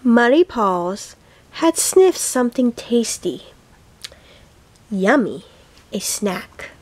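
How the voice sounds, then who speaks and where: Woman, storybook read-aloud for small kids; slightly high voice, unhurried, deliberate delivery. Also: a little hiss or hum. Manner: slow, deliberate, expressive phrasing for young children. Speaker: teacher reading a children's picture book aloud.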